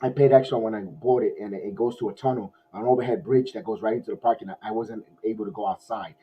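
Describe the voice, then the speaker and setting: A man hosting a casual post-game sports podcast, his voice hoarse, rambling with many restarts like an excited fan. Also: on the low side, fast. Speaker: podcast host. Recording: dull lo-fi audio.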